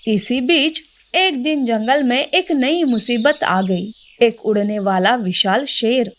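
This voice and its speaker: A woman's voice: fairly high pitch, slow pace, slight background noise. A woman narrating a scripted children's story.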